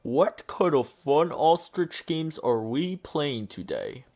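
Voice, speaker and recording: A man's voice: fairly high pitch, sing-song, slow pace, a little mellow, exaggerated voice. A man voicing a lonely young male giraffe in a storybook, hopeful and eager, asking politely. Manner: polite, pleading questions.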